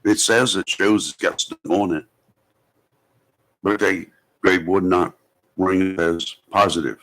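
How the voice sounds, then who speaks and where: A man's voice: fairly even intonation, unhurried, fairly bright tone, rough recording. A man, hosting a radio show with folksy, joking casual banter. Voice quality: deep. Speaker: radio talk show host.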